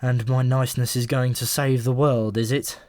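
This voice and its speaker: A man's voice: mid-range voice, flat delivery, normal speed, a little mellow, no room sound, lightly acted. A man voicing an irritable, insecure ordinary modern man in an audiobook, testy, incredulous and full of questions. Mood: doubtful. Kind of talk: audiobook character voice.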